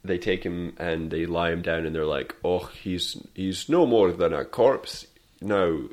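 Man, very low voice, casual, meandering, laughing film chat. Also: unhurried, darkish tone. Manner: laughing, meandering, does mock movie quotes.

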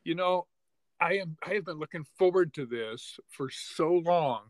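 A man hosting a podcast, enthusiastic and halting as he welcomes and questions his guest. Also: fairly high pitch, animated, no room sound. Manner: warmly enthusiastic, halting with restarts. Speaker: podcast host. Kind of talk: conversational interview.

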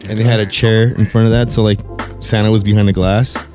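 Laid-back podcast dad with a low voice. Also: male voice, flat delivery, fairly quick, over music. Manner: laid-back, rambling storyteller. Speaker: podcast co-host. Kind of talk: casual chat.

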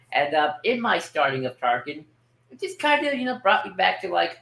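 A man's voice: mid-range voice, fairly bright tone, rough recording. A man co-hosting a podcast, chatting casually in a loose, rambling way as he thinks aloud. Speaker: podcast co-host. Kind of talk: casual chat about books.

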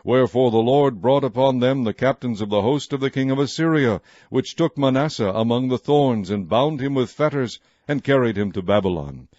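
A man reading scripture aloud in a measured, formal, solemn narration style. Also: medium pitch, a little mellow, dry recording, lo-fi sound. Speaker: Bible reader.

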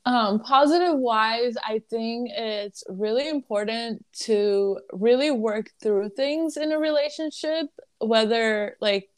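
A woman's voice: a little high, slow, hardly any pauses. A woman in her twenties with a Lebanese accent, a podcast guest chatting casually and laughing between phrases. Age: 20s. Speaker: guest, content creator. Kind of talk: casual conversational interview.

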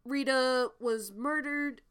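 A woman's voice: sits high, slow pace, fairly bright tone, clean tone. A young woman from New England hosting a true crime podcast, chatty with frequent ums, her voice congested from a cold. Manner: chatty with frequent ums, laughs between lines. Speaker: podcast host.

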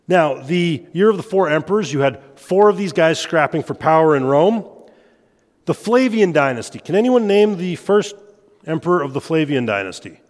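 A man with a head cold gives a relaxed evening lecture, joking at his own expense now and then. His voice sits a touch high. It rings out with a long reverb, as if he is speaking in a large hall. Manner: relaxed, self-deprecating, quick to laugh. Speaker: church history lecturer. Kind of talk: informal lecture at a church meeting. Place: church meeting room.

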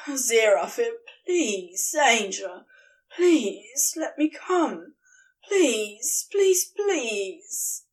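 A woman's voice: on the high side, sing-song, extremely slow, far from the mic, heavily acted. Woman reading as a sardonic male demon. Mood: pleading. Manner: gruff, begging, sarcastic.

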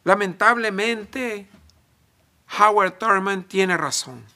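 A man from El Salvador, preaching a sermon in deliberate phrases with emphatic pauses. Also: sits high, bright, forceful tone.

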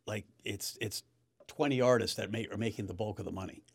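A man co-hosting a casual podcast who jumps in with quick interjections and often restarts his sentences. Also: on the low side, slightly rough, no echo, clean studio sound. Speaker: podcast co-host.

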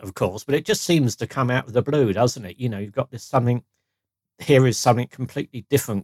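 A podcast co-host talking in a relaxed, rambling way. His voice is husky, in a middle pitch, and the recording is dry and close. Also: dry recording. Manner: rambling, thinking aloud. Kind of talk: casual chat.

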